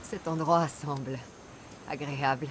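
A woman's voice: sits low, steady tone, measured pace, background noise. A woman playing a tough, dry-witted young Greek mercenary in a video game, curt and sardonic. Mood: dry, impatient.

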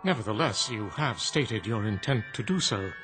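Man as robot servant, formal, precise, dutiful, lively pitch range, radio drama. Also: moderate pace, over music, natural voice. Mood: questioning.

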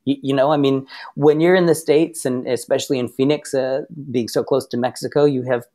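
A talkative man from Massachusetts, interviewed as a podcast guest. He tells long stories in an easygoing way, his voice sitting at a mid pitch. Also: steady tone, fairly quick, warm tone. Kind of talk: casual interview chat.

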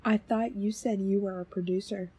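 A woman acts a sceptical young companion in an audio drama. Her voice is very husky and breathy, with a soft, dark tone. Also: medium pitch, steady tone, moderate pace, dry recording. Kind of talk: scripted audio drama acting.

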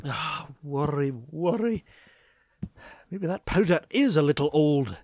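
A cranky old miser of a cartoon duck, swinging widely in pitch as he scolds. He fusses in old-timey exclamations at an unhurried pace. The recording has a light hiss and clicks. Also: lively intonation, darkish tone. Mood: weary, grumbling. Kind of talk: comic dub cartoon acting.

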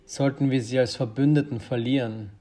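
A brusque, emphatic strategist acted by a man in an audio drama. The voice is dark and mellow at a medium pitch, with formal, forceful wording. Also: steady tone, normal speed, close to an everyday voice. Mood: vehement. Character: brusque, vehement male lobby strategist.

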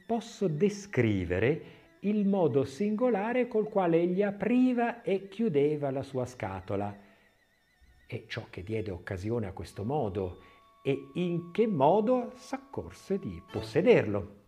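Animated male literary narrator, music behind. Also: on the high side, takes it slowly. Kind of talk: expressive scripted literary reading.

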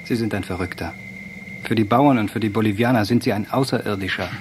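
A man's voice: fairly low pitch, fast, a little hiss or hum, lightly acted. A male actor in a radio drama playing an earnest soldier questioning a prisoner. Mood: earnest, probing.

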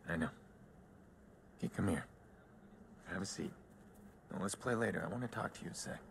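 Guarded hacker vigilante, deep-set gravelly tone, terse, video game drama, slight noise. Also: male voice, fairly quick. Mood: coaxing. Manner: terse, low-key. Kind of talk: cinematic video game acting.

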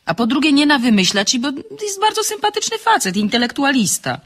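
An actress playing an insistent, flippant woman in a comic radio drama, brisk and impatient as she pushes. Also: high voice, sing-song. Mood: impatient, pushy. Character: insistent, flippant woman pressing a friend for a favour.

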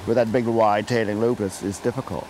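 A man's voice: fairly low pitch, fairly even intonation, fairly quick, a little mellow, a little hiss or hum, rough recording. Older man teaching fly casting streamside. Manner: folksy, repeats words while explaining. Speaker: fly fishing guide. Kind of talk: outdoor fishing instruction. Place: wading in a wooded trout stream outdoors. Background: faint stream and outdoor ambience.

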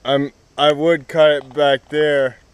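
A young man explaining his tree cutting as he goes, in a halting casual way with many fillers and restarts, recorded outdoors in a wooded yard on an action camera. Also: fairly high pitch, little pitch movement, smooth, no room sound, low-quality recording. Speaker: vlogger. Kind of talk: casual explanation while working. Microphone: action camera on the ground.

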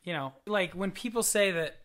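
A young man with a breathy voice, a voice teacher chatting casually on a couch and rambling through his points. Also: sits high, moderate pace, fairly bright tone. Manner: rambling, restarts, conversational. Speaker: voice teacher and reaction video co-host. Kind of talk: casual analytical chat. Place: living room studio, seated on a couch.

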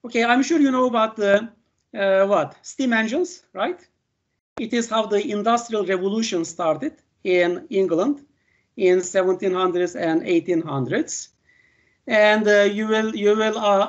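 Older Turkish professor, non-native English, lecturing over a video call, halting delivery full of fillers, dull lo-fi sound. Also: male voice, sits high. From Turkey. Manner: halting, many "uh" fillers and "okay" checks. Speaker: university professor. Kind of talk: online lecture.

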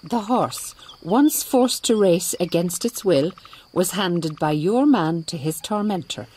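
Radio drama nun in charge: low voice, composed, dry, unbending; noisy recording. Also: female voice, lively intonation, darkish tone. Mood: stern. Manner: measured, dryly ironic. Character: shrewd, composed Mother Superior, devout and dryly unyielding. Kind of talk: radio drama acting and narration.

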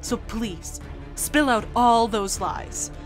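A woman's voice: fairly high pitch, slow, faint music behind. A woman voicing a stern, protective, logical young man with a firm, formal manner in a comic dub. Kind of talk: dramatic comic dub acting.

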